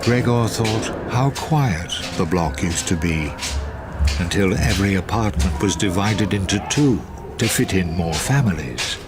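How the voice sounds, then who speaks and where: An actor playing the grave storyteller of a dark radio drama, in scripted narration. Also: male voice, low voice, expressive, measured pace, loud music behind the voice.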